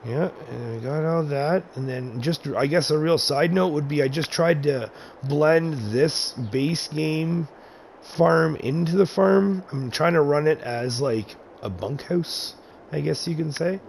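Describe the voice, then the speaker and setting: A man with a slightly raspy, congested voice, casually narrating a tour of his farm in a video game, often correcting himself and chuckling. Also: a little high, a little slow, a little mellow, slight background noise. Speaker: gaming channel host.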